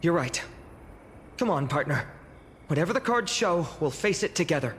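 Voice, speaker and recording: A man's voice: on the high side, lively intonation, normal speed, fairly bright tone, a little hiss or hum. A man voicing an earnest, humble young village swordsman in a video game. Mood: hesitant, resolute. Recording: reverberant, with music underneath.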